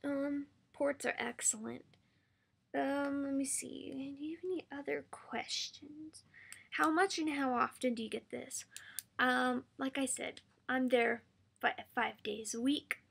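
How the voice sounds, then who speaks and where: Hoarse woman, soft and halting. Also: sits high, steady tone. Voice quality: creaky, hoarse. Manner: soft-spoken, halting with frequent ums. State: losing her voice. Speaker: patient sharing her experience. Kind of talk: casual video answer to a viewer.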